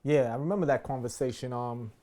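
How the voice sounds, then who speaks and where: Male interviewer and filmmaker from Boston, average pitch, conversational and slangy delivery. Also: a little fast, dark tone. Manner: informal, slangy. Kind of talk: casual interview chat.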